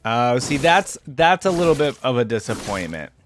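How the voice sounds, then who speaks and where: A man's voice: mid-range voice, sing-song, moderate pace, slight background noise. A man giving casual, off-the-cuff game reaction commentary, rambling as he thinks aloud. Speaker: reaction video host.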